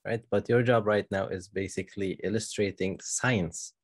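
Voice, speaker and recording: A man's voice: on the low side, steady tone, normal speed. A man hosting a podcast interview, asking questions hesitantly with many uhs and restarts. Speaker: podcast host. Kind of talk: casual interview chat.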